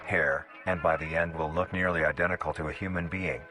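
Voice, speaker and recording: A man reading scripted documentary-style narration in a flat, clinical way. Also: a bass voice, a little fast. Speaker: narrator of a horror video. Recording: narration played over music.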